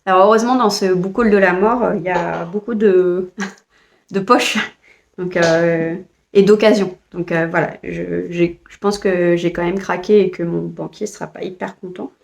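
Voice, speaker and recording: A woman's voice: lowish voice, steady tone, moderate pace, gravelly. A French woman vlogger chatting casually about books she bought, hesitant with frequent fillers and restarts. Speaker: book vlogger. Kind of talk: casual book haul chat.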